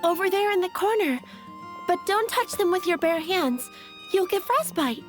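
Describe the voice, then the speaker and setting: Very high-voiced girlish flower seller. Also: expressive, moderate pace. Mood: polite, hesitant. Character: young girlish flower seller, polite and nervous. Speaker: shopkeeper. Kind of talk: anime video game voice acting. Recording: game dialogue over music.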